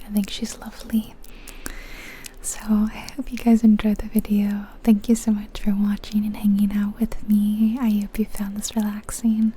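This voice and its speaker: Female ASMR gaming host: breathy whisper, very soft dark tone, flat and gentle delivery, slight noise in the recording. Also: medium pitch. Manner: gentle, unhurried, informal. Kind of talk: whispered ASMR game commentary.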